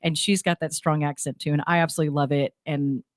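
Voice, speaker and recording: Female host, New Jersey accent, low-pitched, chatty and rambling, dry studio mic. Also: fairly even intonation, fast, dry recording. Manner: chatty, rambling, self-deprecating. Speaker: radio show host. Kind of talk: casual chat with guests. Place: radio studio table.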